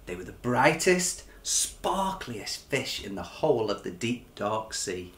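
Expressive, unhurried storyteller, male. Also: medium pitch, echoey room. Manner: dramatic, animated reading for children. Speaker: children's author reading his own book. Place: furnished living room, indoors.

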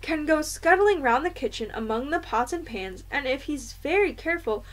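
A woman's voice: high-pitched, expressive, moderate pace, fairly bright tone, voice pushed up. A woman voicing an eager, clever young boy who has become a mouse, in a storybook reading. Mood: eager, confident.

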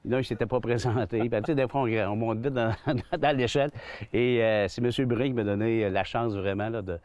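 A man's voice: mid-range voice, steady tone, moderate pace, a little mellow, no room sound. A man in his sixties with a Québécois French accent, a golf club manager chatting in an outdoor interview, rambling with many restarts and fillers. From Quebec. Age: 60s. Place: outdoors on a golf course under trees.

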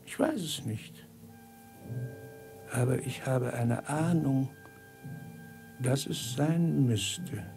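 An actor playing a fatalistic, knowing beggar sage in a 1950s radio drama, with creaky voice and measured, cryptic delivery. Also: male voice, medium pitch, warm tone, soft background music. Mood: ominous.

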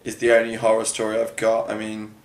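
A man's voice: lowish voice, monotone, a little fast, creaky. A man being interviewed as a guest, talking in an informal, effusive way in casual conversation. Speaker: interview guest, children's entertainer. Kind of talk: casual chat. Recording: roomy, echoing room.

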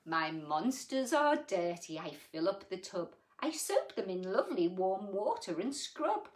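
A woman voicing a tired, put-upon mother of unruly children in rhyming, measured picture-book narration. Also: medium pitch. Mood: tender, dramatic. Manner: measured, expressive, rhythmic.

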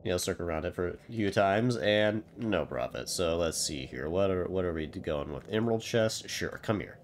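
Low-pitched male gaming host, congested from a cold, casual and wry, faint background hiss. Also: expressive, normal speed. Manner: rambling, wry, self-deprecating. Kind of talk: casual gameplay commentary.

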